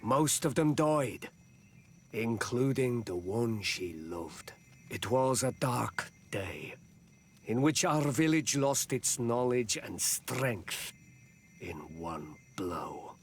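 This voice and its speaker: An actor playing a measured male clan chief in a video game, solemn and deliberate. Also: mid-range voice, lively intonation, slightly rough, full character voice. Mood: sombre. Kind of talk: video game cutscene acting.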